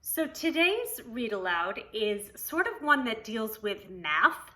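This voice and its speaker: Woman teacher doing a kids read-aloud, highish bright voice, slow and expressive. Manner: child-directed, expressive, deliberate enunciation. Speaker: preschool teacher. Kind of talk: read-aloud for young children with counting lesson.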